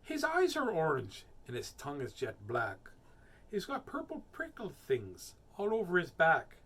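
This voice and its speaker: Man voicing a small crafty mouse, high and swooping. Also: wildly swooping pitch, unhurried, a bit bright, exaggerated voice. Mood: sly, boastful. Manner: exaggerated, over-courteous, with a put-on accent. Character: small polite crafty mouse, boastfully describing a monster.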